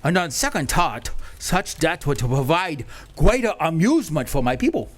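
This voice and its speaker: Guy playing a gloaty evil space emperor, high voice that swoops around a lot, community radio play. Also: big pitch swings, moderate pace. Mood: gloating. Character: haughty cruel male space emperor. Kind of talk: live amateur radio play.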